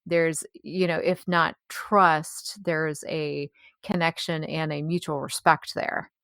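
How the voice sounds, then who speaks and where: A woman's voice: deep voice, flat delivery, slow, gravelly, fairly bright tone, dry recording, clean studio sound. A woman co-hosting a podcast, discussing a story in a casual, agreeable, conversational way. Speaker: podcast co-host.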